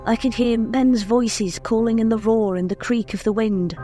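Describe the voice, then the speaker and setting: A woman's voice: fairly high pitch, moderate pace, soft background music, lightly acted. A woman playing an eerie, passive young woman speaking dreamily from a hypnotic trance in a radio drama. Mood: entranced, uneasy. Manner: dreamy, hushed incantation in short phrases. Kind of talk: old-time radio drama acting.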